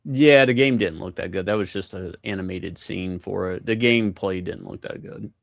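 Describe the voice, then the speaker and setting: Very deep, gravelly man chatting casually. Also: normal speed, no room sound, clean studio sound. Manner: slangy, explanatory. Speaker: co-commentator explaining game lore. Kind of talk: casual chat.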